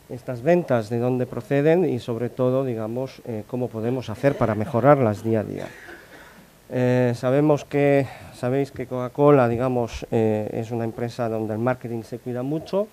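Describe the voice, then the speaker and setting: A man's voice: mid-range voice, darkish tone. A middle-aged man from Italy who speaks with an Italian accent, giving a conference talk with many hesitations and restarts.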